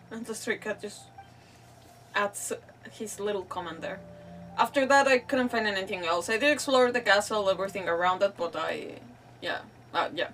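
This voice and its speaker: A woman's voice: medium pitch, moderate pace, a bit bright, a little hiss or hum. Rambling woman streamer, slightly distant mic. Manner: rambling, self-deprecating. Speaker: gaming streamer. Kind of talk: casual gameplay commentary. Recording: slightly distant mic, faint music behind.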